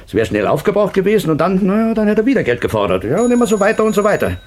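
A man's voice: sits high, big pitch swings, rapid-fire, darkish tone. An actor playing an agitated, bitter, domineering father in a German crime radio play, speaking emphatically. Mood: defensive, regretful.

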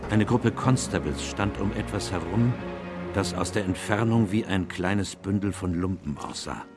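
Grave gentleman narrator, deep pitch, measured storytelling, solemn, radio drama, music behind the voice. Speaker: narrator of a detective radio play.

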